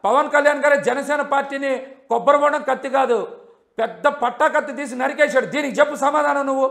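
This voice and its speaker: A combative man with an Andhra Telugu accent, arguing in a live televised debate and pressing his opponent with repeated questions. His voice is very high for a man and gravelly, and he talks quickly. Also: steady tone, a bit bright. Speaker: politician on a debate panel.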